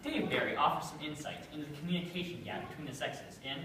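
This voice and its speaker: A man's voice: fairly high pitch, fast talker, bright, forceful tone. A young man giving scripted comic narration on stage. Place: school chapel stage before an audience. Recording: very echoey hall, distant mic, faint noise.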